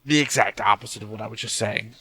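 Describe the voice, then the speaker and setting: Man, low voice, casual reaction commentary, thinking aloud. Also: quick pace, sharp tone, slightly rough, no echo. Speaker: host of an anime reaction channel.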